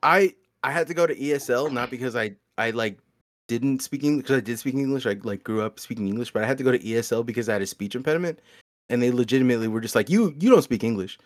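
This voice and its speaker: A man's voice: medium pitch, quick pace, no echo. A man hosts a loose, profane group chat and laughs easily, with a slight stutter now and then. His voice sits in a middle range and sounds dry and close, with no room around it. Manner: stutters at times.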